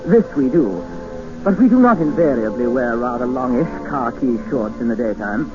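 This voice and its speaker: A man's voice: mid-range voice, animated, normal speed, lightly acted. A man playing a wry English explorer narrating. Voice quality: wry, storytelling. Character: nervous young English explorer telling his tale. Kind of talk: 1950s radio drama narration. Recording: dull old radio broadcast with music.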